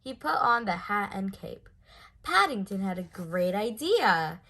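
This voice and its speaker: A woman reads a children's storybook aloud with a bright, forceful voice. Her pitch moves in lively swoops as she leans on key words, giving an expressive storytelling delivery. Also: a little slow. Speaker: storybook reader.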